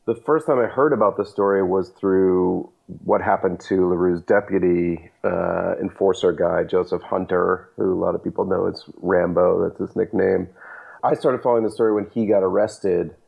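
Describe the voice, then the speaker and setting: A man being interviewed as a journalist, explaining at length in a measured way with frequent fillers. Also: fairly low pitch, vocal fry, muffled recording. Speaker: journalist guest. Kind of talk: interview answers.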